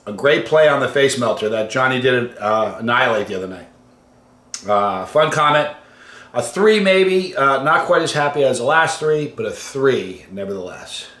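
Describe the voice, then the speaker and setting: A man casually hosting a livestream and reading out viewer comments, halting and conversational, trailing off quietly at the ends of phrases. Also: mid-range voice, lively intonation. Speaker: host of a hot sauce challenge channel. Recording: roomy, echoey room sound.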